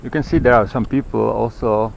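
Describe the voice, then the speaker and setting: A man who is not a native English speaker, rambling haltingly as he vlogs on a walk, searching for words and repeating himself. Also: medium pitch, steady tone, vocal fry. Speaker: vlogger. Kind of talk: rambling walk-and-talk monologue on ancient texts. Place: outdoors on a mountain trail. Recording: noisy outdoor handheld recording with clicks.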